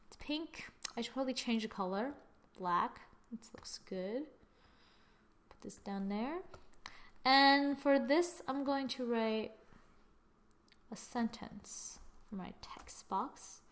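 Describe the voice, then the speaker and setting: High-voiced woman chatting through a tutorial. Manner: chatty, self-mocking, thinks aloud while clicking. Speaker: software tutorial presenter. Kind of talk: screen-recorded software tutorial.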